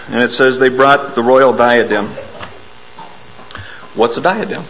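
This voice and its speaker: A man delivers a relaxed, self-deprecating sermon in a church, explaining old hymn words to his congregation. His voice is hoarse from a cold, and he clears his throat often. A faint background hum sits under his voice. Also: mid-range voice, slow, rough recording. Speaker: lay preacher. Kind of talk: informal sermon.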